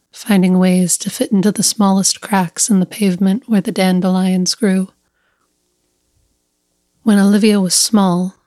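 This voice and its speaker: A woman narrates a literary short story at an unhurried pace. Her pitch sits in the middle range, and she sets each short phrase apart with deliberate care. The recording is close and dry. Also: darkish tone, no room sound.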